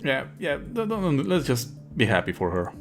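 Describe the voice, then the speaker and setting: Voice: mid-range voice, wildly swooping pitch, normal speed, faint music behind. A streamer giving wry, sweary, casual commentary on a game and reading its narration aloud in a live let's play.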